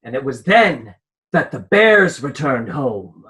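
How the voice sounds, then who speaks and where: A prim fairy-tale storyteller played by a woman narrates in a crisp, forward voice with lively rises and falls. She sounds controlling and proper, keeping firm charge of the tale. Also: rumbling low, takes it slowly, heavily acted, poor audio. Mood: storytelling.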